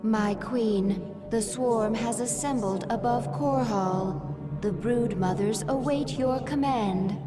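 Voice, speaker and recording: A woman playing a dutiful alien hive advisor in a video game, giving formal, measured reports to her queen. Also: fairly high pitch. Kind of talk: video game cutscene acting. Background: music.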